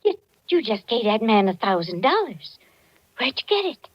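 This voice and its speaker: An actress playing a worried, morally troubled wife with emotional, dramatic delivery in 1940s radio style. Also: female voice, on the high side, sing-song, moderate pace. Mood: anxious. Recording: dull, band-limited 1940s radio recording.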